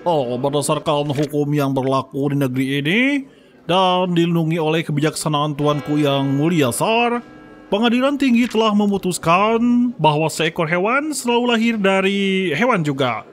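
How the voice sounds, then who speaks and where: A man's voice: a little high, normal speed, faint music behind, close to an everyday voice. A man playing a pompous court judge in a dubbed children's cartoon, reading out a verdict in a proclaiming manner.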